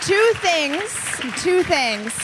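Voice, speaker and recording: A woman's voice: extremely high pitch, very animated, very slow. A woman co-hosting a live podcast in casual banter, blunt and self-mocking. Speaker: podcast co-host. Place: live show before an audience. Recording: noisy live show with crowd.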